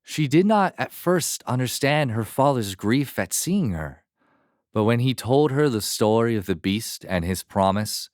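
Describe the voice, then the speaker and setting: A man narrating a scripted fairy tale as a storyteller, measured, expressive and dramatic. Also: medium pitch, dry recording, clean studio sound.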